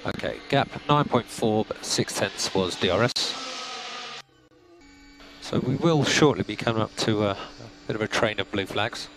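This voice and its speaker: A race engineer reads out gaps and setup advice in a calm, methodical voice of middle pitch. The sound is compressed team radio, and loud music plays over it. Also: male voice, normal speed, rough recording. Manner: calm, methodical, clipped updates.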